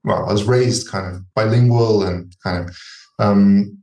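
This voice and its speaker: Low-ish voiced guy rambling about music, lots of ums, roomy echo. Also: fairly even intonation, measured pace, warm tone. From UK and Chile. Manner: hesitant, many fillers and restarts. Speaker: musician, workshop participant. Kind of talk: casual conversation.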